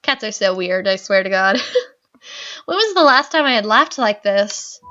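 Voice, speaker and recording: A woman's voice: mid-range voice, animated, unhurried, sharp tone, dull audio, soft background music. A woman hosting a let's play, reading game narration aloud and adding chatty, giggly commentary. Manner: chatty, giggly, reads narration then riffs.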